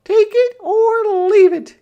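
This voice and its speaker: A little sister bear in a children's storybook. The voice is squeaky and far above a normal speaking pitch, emphatic and bossy, with a stubborn, scaredy streak. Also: takes it slowly, dark tone, dull audio, heavily acted. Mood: stubborn, bargaining. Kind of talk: children's storybook read-aloud.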